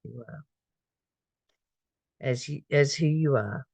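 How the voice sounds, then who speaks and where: A spiritual study reader with a medium-pitched voice, recorded dry with very little room sound. The delivery moves between reading aloud and informal reflection, often repeating a phrase or putting a question to the listener. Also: slow, vocal fry, a little mellow, no echo.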